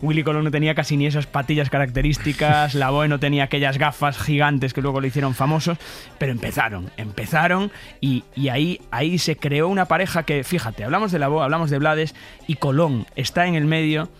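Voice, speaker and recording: A man's voice: on the high side, a little fast. A man speaking as a guest commentator, telling stories casually and effusively, piling up adjectives. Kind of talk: casual, enthusiastic storytelling in conversation. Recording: music playing behind the voice. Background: background music.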